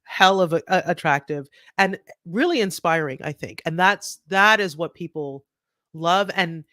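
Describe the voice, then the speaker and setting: Middle-aged woman guest, animated and chatty, dry close mic. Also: fairly low pitch, a little slow, dry recording. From Trinidad. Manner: animated, many restarts and "right?" tags. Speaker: author guest. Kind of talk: conversational interview.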